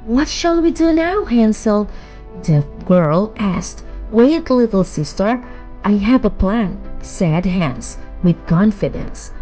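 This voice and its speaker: A woman narrating a children's bedtime fairy tale in a measured, expressive storytelling manner. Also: medium pitch, poor audio.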